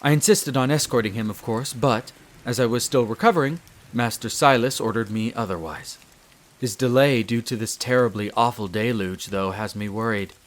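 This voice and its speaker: Casting: male actor for a devoted wolf butler, head servant of a castle. Formal, polite, measured delivery, mid-range pitch, fantasy audio drama. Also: close to an everyday voice. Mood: earnest, slightly worried. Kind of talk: scripted roleplay audio drama.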